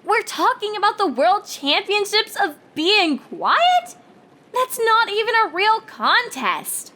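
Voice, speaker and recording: A woman's voice: extremely high pitch, sing-song, slow pace, sharp tone, voice pushed up, heavily acted. A woman voicing a bookish, skeptical female cartoon pony, incredulous, emphatic and easily flustered. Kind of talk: character voice in fan fiction reading.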